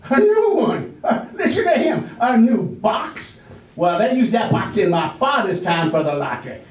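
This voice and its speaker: A man's voice: high-pitched, sing-song, normal speed. A man playing a crotchety, folksy old villager in his late seventies in a staged reading, stammering and repeating himself as he scolds. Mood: scornful. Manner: indignant, repetitive scolding. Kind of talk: amateur readers' theater stage acting. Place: library room during a staged reading. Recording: roomy echo, distant microphone.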